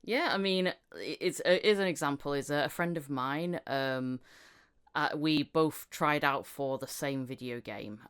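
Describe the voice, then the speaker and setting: Low, smooth-voiced woman hosting. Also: a little slow, a bit bright. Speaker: podcast host, voice actor. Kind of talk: casual interview chat.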